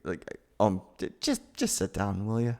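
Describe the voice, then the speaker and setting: A man's voice: on the low side, wildly swooping pitch, normal speed, darkish tone. A young man playing a nervous, sweet, awkward roommate in an ASMR roleplay, stammering and hesitant. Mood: gentle teasing. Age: young adult. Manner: flustered, stammering, hesitant, sighing. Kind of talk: ASMR boyfriend roleplay, acted monologue.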